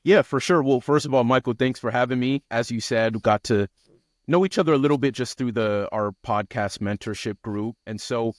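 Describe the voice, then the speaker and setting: A man's voice: medium pitch, fairly quick, no echo. An American man in his late twenties from Seattle, talking about himself reflectively as a guest in a conversational interview. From Seattle, United States. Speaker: interview guest, journalist and podcaster. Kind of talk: conversational interview, personal account.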